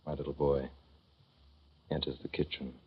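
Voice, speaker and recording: A man narrating as a gentle, thoughtful father in a 1930s radio drama, with measured, tender storytelling. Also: extremely low pitch, flat delivery, husky, heavily acted. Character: gentle, thoughtful father telling of his son. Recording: dull old 1930s radio recording.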